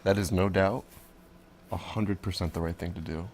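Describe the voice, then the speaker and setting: An actor playing a hesitant, tender younger man in a secret affair, with an American accent and halting, intimate delivery in an audio drama. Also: low voice, fairly even intonation, a little mellow, slight background noise. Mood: resigned, awkward. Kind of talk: naturalistic noir audio drama dialogue.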